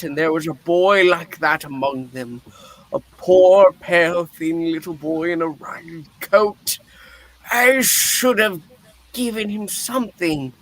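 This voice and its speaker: A performer reading the part of a frightened, wistful old miser in a theatrical play reading, with halting, dramatic delivery. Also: medium pitch, pushed and bright, rough recording.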